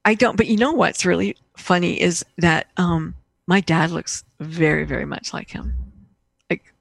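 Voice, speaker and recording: Gravelly, lower-pitched woman telling stories. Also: moderate pace, no echo. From Austria. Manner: animated storyteller, acting out reported dialogue. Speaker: guest, painter and coach. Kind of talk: casual interview storytelling.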